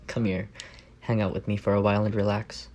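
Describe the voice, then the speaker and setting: A man's voice: fairly low pitch, little pitch movement, moderate pace, darkish tone, natural voice. A young man playing an affectionate, teasing roommate with a secret crush in a casual, intimate ASMR roleplay. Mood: teasing, reassuring. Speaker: voice actor in audio roleplay.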